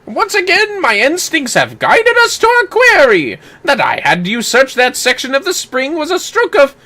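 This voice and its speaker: A performer voicing a flamboyant, pompous gentleman inspector from a video game, with grandiose, florid delivery. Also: sits high, wildly swooping pitch, normal speed, voice pushed up, exaggerated voice. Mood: self-satisfied. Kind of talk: theatrical voice-acting of game dialogue.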